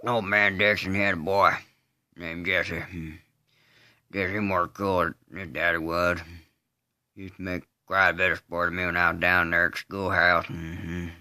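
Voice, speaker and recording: Very low, halting Southern simpleton. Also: male voice, no echo, studio quality, full character voice. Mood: flat, matter-of-fact. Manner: halting, deadpan drawl with grunted hums between phrases. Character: slow, simple-minded rural man confessing a killing, flatly unrepentant. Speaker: amateur voice artist. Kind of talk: dramatic monologue re-enactment of a film scene.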